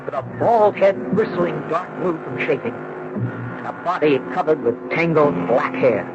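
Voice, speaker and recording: An actor playing a wary young surfer in a 1970s radio drama, speaking and narrating in a dramatic, emphatic way. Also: male voice, on the high side, lively intonation, measured pace, creaky. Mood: tense recounting. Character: bewildered young surfer man, wary and agitated. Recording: dull, noisy 1970s radio recording.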